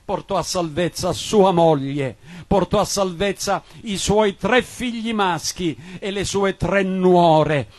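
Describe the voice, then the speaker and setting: High-pitched man preaching. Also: slow, a little mellow. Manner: emphatic, declamatory, repetitive exhortation. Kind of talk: evangelical Pentecostal sermon and prayer.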